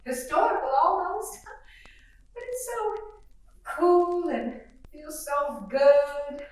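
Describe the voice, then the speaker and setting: A woman's voice: high-pitched, animated, very slow, slight background noise, heavily acted. An actress playing a fussy, middle-aged faded belle mother, who chatters on in a gushing, rambling way. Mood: fluttery. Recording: echoey roomy sound from far off.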